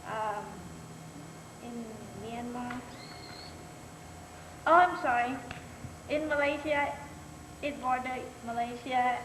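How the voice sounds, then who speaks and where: A teenage girl from Thailand, a native Thai speaker, carefully introducing herself and her country as an exchange student. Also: fairly high pitch. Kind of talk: short self-introduction speech. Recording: reverberant hall, noisy.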